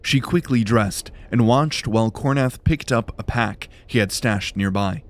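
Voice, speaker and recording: A man's voice: lowish voice, a little slow. A man reading scripted, dramatic narration for a fantasy audio drama.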